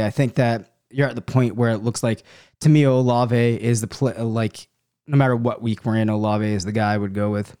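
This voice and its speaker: A man hosting a sports podcast solo, slightly hoarse from a cold. He thinks out loud as he answers listener questions, filling pauses with ums and uhs and hedging his picks. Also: mid-range voice. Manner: thinks aloud, many fillers and hedges. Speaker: fantasy football podcast host.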